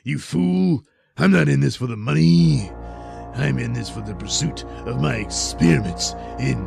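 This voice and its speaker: A man's voice: mid-range voice, normal speed, gravelly, darkish tone, faint music behind. A man voicing a gloating mad-scientist villain in a comic audio play, with a theatrical manner. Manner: gloating, theatrical, panics into shrieks. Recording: noisy home recording.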